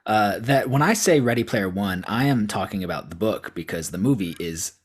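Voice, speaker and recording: A man's voice: fairly low pitch, lively intonation, normal speed, breathy edge. A man speaking as a debate contestant in casual, jokey, sweary banter. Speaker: debate contestant, film YouTuber.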